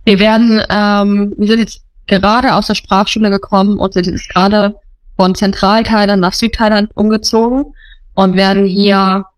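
A woman's voice: medium pitch, level tone, moderate pace, dry recording. Earnest woman, searching for words. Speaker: missionary interviewee. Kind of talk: casual interview talk.

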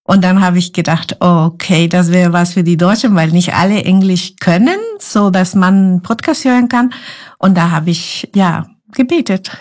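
A woman's voice: lowish voice, moderate pace, darkish tone. Woman, earnest and searching. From Guatemala. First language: Spanish. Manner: earnest, searching for German words. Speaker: ministry guest. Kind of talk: podcast interview.

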